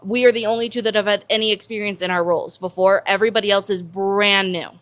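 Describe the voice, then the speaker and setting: An American woman gives conversational interview answers, explaining as she goes. Her voice is mid-pitched. The sound is dry, with little room on it. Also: steady tone, fast, a bit bright, no room sound. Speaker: production stage manager, interview guest.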